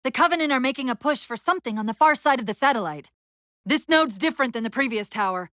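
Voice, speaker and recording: An actress playing a female military AI companion in a video game, urgent and witty but fraying under strain, with crisp tactical delivery and dry sarcasm. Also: sits high, expressive, quick pace, sharp tone, dry recording. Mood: focused, briefing. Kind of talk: dramatic game voice acting.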